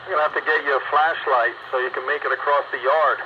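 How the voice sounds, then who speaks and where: A man's voice: medium pitch, steady tone, fast talker. A man giving casual advice and chat over hobby radio. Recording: dull, noisy radio transmission. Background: clear radio noise.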